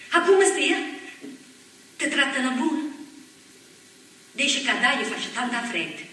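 Chattering young emigrant's wife. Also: female voice, a little high, bright, forceful tone. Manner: rushed, tender, colloquial chatter. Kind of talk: stage monologue for radio. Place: theatre stage. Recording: echoey stage from far off.

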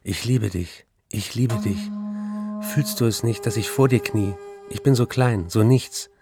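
An actor playing a love-struck, tormented young writer in a radio drama, reading intimate letters in a fervent, confessional way. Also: male voice, lowish voice, unhurried, gravelly, over music. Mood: adoring, pleading. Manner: confessional, repetitive, fervent address.

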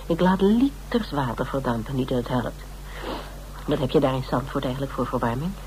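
An actress playing a practical, wry woman detective with a Dutch accent in a naturalistic radio drama, talking in a relaxed, teasing, intimate way. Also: extremely low pitch, a little fast, slight background noise, poor audio. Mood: grumbling, casual.

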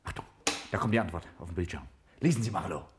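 A man's voice: low-pitched, animated, fast talker, background noise. A man playing a decisive, commanding lead scientist in a 1950s German radio drama. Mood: urgent. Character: male lead scientist, brisk, decisive organiser.

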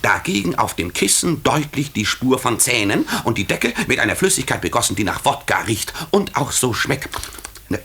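An actor in a radio play voicing a zealous, pedantic young investigator's assistant, excitable and self-important, reporting every detail precisely. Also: male voice, medium pitch, normal speed, slight background noise. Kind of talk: 1950s German radio play acting.

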